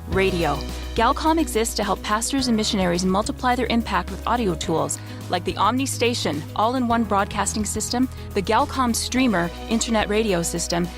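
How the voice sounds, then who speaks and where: A woman's voice: medium pitch, animated, moderate pace. A woman reading scripted promotional narration as an ad announcer. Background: music.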